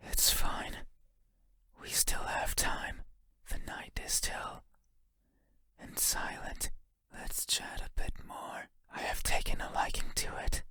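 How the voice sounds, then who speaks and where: Eerie shadow creature, horror game monologue, breathy whisper, crisp hissing edge, lonely, halting, softly insistent. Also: male voice. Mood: softly patient. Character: eerie wandering shadow creature, lonely, curious, longing to be seen.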